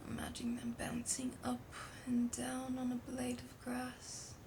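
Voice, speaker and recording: A woman playing a playful, dreamy French roommate in an intimate ASMR roleplay, breathy and often whispering, with a French accent and a languid, teasing delivery. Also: on the high side, monotone, unhurried. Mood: dreamy, hushed. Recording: outdoor summer ambience noise behind voice. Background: birdsong, crickets, wind chimes.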